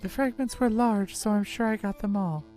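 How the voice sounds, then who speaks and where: A woman's voice: medium pitch, normal speed, dark tone, soft background music, natural voice. A woman voicing an earnest female detective giving testimony, reading video game dialogue.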